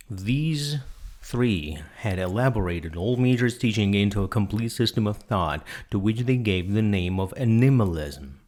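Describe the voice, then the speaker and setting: Male audiobook narrator, fairly deep, delivering a dramatic, measured literary reading. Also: darkish tone.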